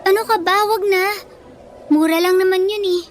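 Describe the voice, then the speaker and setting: A woman playing a bullied, soft-hearted young student in a Filipino radio drama, sincere and modest. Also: extremely high pitch, fairly even intonation, moderate pace, faint music behind. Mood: flustered, grateful.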